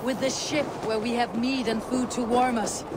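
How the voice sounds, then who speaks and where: Skeptical Viking shieldmaiden voiced by a woman, dry and measured, under a howling storm. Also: a little high, steady tone, lightly acted. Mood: wary. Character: wary Viking woman warrior. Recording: game audio under loud wind noise.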